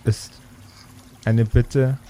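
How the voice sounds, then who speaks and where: Male game master, unhurried and dark-toned, improvising fantasy narration with dramatic pauses over faint ambience. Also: mid-range voice, level tone.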